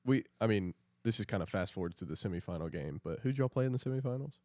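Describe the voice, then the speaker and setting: A young man with a deep voice in a dry, close recording. He speaks as a podcast co-host, trading casual sports talk with friends in an everyday conversational style. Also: expressive, fast, dark tone, no room sound. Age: young adult.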